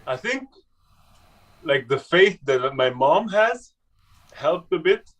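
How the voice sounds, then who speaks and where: A young man from Switzerland, a guest telling his story in a casual conversation, halting and restarting often. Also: a little high, very animated, fairly bright tone, recorded from a distance. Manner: halting, with many restarts and fillers.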